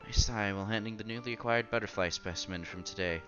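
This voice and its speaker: A man's voice: on the low side, fast, muffled recording. A man reading a visual novel aloud in a casual let's-play style, sometimes stumbling over words and repeating them. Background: game music.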